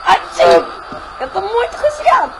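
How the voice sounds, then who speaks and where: Woman, Brazilian Portuguese accent, very high voice, excitable and whiny, giggly, noisy home recording. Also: measured pace. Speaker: player voicing a child. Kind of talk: improvised Minecraft roleplay.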